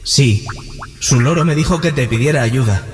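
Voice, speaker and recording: An actor playing an earnest, eager young musician and grandson in an audio drama. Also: male voice, medium pitch, moderate pace, music in the background, natural voice.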